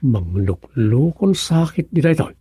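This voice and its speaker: A man's voice: medium pitch, sing-song, measured pace, warm tone, slightly rough, dry recording, exaggerated voice. An actor playing a put-upon, sarcastic village chairman in a radio comedy. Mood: exasperated. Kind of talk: radio comedy drama acting.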